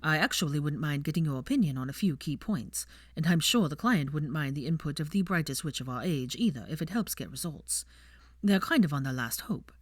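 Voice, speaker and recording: A woman voicing a proud, guarded young man, a wizard in an audiobook, in a measured, formal manner. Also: low voice, lively intonation, no echo, studio quality. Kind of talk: audiobook character voice.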